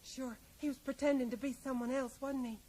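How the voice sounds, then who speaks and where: High-voiced American widow. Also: female voice, fairly even intonation, fairly quick, mellow. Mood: matter-of-fact, reflective. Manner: rambling, ends remarks with tag questions. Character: plain-spoken small-town widow, resigned and insecure. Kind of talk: radio drama dialogue. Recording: faint noise, 1980s radio play.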